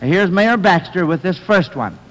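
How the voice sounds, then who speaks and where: A man's voice: high-pitched, expressive, measured pace. A man hosting an old-time radio quiz show, reading out questions to contestants. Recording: old radio recording, echoey hall.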